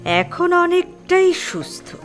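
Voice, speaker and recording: A woman's voice: very high-pitched, very animated, slow pace, soft background music, exaggerated voice. A woman acting a firm, practical, chiding mother arranging her son's marriage in an audio drama. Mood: calm, chiding. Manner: chiding, matter-of-fact.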